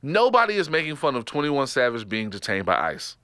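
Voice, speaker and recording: A man's voice: medium pitch, a little fast, clear, resonant tone, no room sound, studio quality. A man from Texas with a Texas accent, co-hosting a podcast in casual, joking chat that often breaks into laughter. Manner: animated, joking, laughs often, trails off and restarts. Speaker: podcast co-host.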